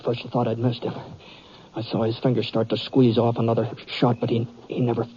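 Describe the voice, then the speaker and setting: Man acting a halting, mellow wounded cowboy, muffled radio. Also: mid-range voice, monotone, lightly acted. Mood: pained, tense. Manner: halting, folksy drawn-out storytelling. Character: wounded, plain-spoken western gunfighter, weary but dogged. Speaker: lead actor and narrator of a western radio drama. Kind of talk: old-time radio western acting, first-person narration. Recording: muffled old radio recording with hiss.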